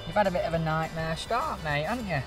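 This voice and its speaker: A man's voice: a little high, lively intonation, quick pace, distant mic, music in the background. A man, hosting a fishing show outdoors on a riverbank and asking his guests to explain what they do. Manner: chatty, asks guests to explain. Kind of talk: casual interview on the bankside. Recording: outdoor recording, faint noise, bit off mic.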